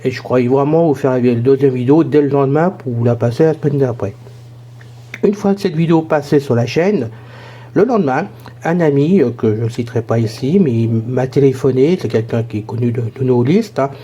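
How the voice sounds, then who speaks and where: A hoarse man casually explaining a gadget in a chatty, rambling tutorial. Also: medium pitch, brisk, warm tone, dull audio. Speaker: tech tutorial presenter.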